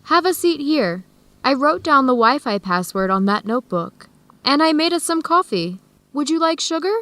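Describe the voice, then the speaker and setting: A friendly young American woman in a scripted radio drama. Her voice sits on the high side and she explains things clearly. The sound is dry and close. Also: big pitch swings, normal speed, bright, forceful tone, dry recording, full character voice. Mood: hospitable.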